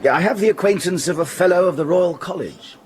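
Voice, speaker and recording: Proper old-fashioned gentleman male actor, slightly high voice, courteous, opinionated, squeamish, measured period radio drama delivery. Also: slightly rough. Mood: disapproving.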